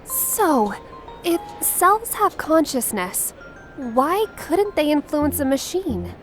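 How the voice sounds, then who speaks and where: A woman's voice: high-pitched, very animated, takes it slowly, faint music behind, full character voice. An actress playing a friendly, curious teenage schoolgirl in a comic dub, inquisitive and a little hesitant. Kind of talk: acted comic dub dialogue.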